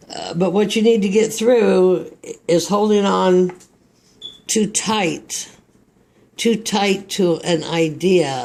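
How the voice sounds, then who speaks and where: Male tarot reader, Texas accent, fairly high voice, unhurried and rambling. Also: gravelly, a little mellow. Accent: Texan. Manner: slow, meandering, reflective delivery. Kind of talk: tarot card reading.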